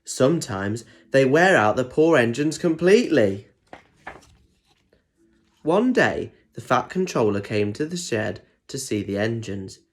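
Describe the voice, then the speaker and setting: A man's voice: medium pitch, animated, unhurried. A man reads a picture book aloud for young children. He narrates expressively, then breaks off into chatty asides, asking simple questions about what the pictures show. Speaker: storyteller reading aloud to children.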